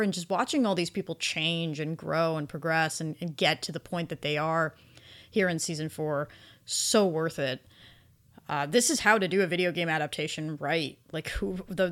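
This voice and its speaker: A woman's voice: sits low, a bit bright. A woman co-hosting a casual podcast discussion, effusive and emphatic, repeating words for stress. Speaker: podcast co-host reviewing anime.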